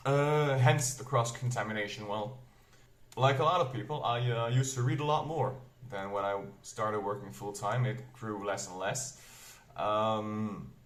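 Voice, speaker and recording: A man in his twenties with a Flemish accent that mixes American and British English, rambling through a casual bookshelf tour at home. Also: fairly low pitch. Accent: Flemish, mixing American and British English. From Belgium. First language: Flemish dialect of Dutch. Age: 20s. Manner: rambling, self-correcting, unhurried. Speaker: book vlogger. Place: room at home. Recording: echoey room.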